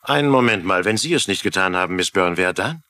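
An actor playing a brisk, questioning police lieutenant in a German-dubbed TV mystery. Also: male voice, low voice, animated, pushed and bright, no room sound. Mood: puzzled, deducing.

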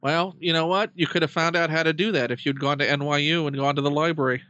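Bright, higher-pitched man interviewing casually. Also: fairly even intonation, fast talker, lo-fi sound, slight background noise. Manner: dry, wry joking. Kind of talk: casual bantering interview chat.

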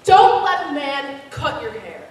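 A woman's voice: high voice, very animated, a little slow. A teenage girl playing a confident young princess as a game show contestant in a stage comedy skit. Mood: matter-of-fact. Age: teens. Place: school auditorium stage. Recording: very noisy echoey auditorium, far off.

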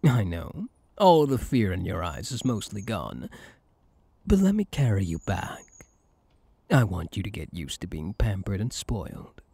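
A man playing a possessive yet tender elf hunter in an intimate ASMR roleplay, speaking in a coaxing, soothing and intense way with a husky voice. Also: fairly low pitch, huge pitch swings, unhurried, mellow, no echo, heavily acted. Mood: soothing, protective. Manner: coaxing, soothing, intense, often dropping to a whisper.

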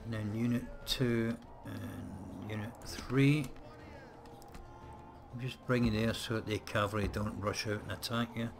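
Low-voiced man chatting through gameplay. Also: unhurried, gravelly, music in the background. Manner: chatty, muttering to himself. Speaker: let's play gamer. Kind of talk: casual gameplay commentary. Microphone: desk mic set close.

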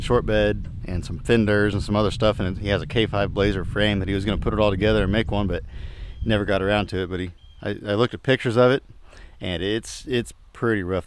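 A man in his thirties giving a relaxed, plain-spoken walkthrough of his junkyard, often trailing off quietly at the end of a remark. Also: fairly low pitch. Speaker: junkyard owner and channel host. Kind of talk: casual vlog walkthrough. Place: outdoor junkyard and inside a pickup truck.

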